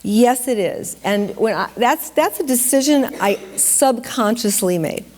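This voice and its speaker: A woman's voice: fairly high pitch, lively intonation, unhurried, a little mellow. A woman from Detroit leading a question session with students, chatty, with frequent fillers and restarts. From Detroit, Michigan. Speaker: dance company director leading a school Q&A. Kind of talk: casual public Q&A moderation. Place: school auditorium with student audience. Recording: hall reverb, audience noise.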